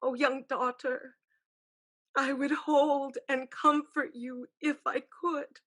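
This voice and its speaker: Woman playing a slow-speaking, knowing elder ancestral spirit. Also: high-pitched, level tone, fairly bright tone. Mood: consoling. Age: older. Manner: deliberate, knowing, counselling. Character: ancestral spirit aunt, elder woman, wise, teasing, ruthlessly pragmatic. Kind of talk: staged play reading, dramatic and measured.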